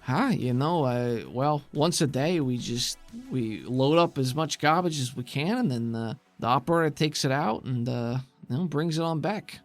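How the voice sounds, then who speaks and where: A man voicing a gruff, stocky older insectoid woman who runs a dump and talks in a casual, evasive, clipped way over music. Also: mid-range voice, moderate pace, hardly any pauses, lightly acted. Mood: unbothered, matter-of-fact. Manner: casual, clipped, shrugging, chewing on a toothpick.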